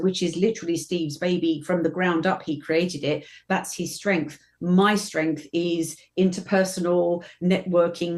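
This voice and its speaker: A British woman in her fifties, a professional voiceover artist, answering interview questions in a lively, chatty way with frequent restarts. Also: fairly low pitch. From Britain.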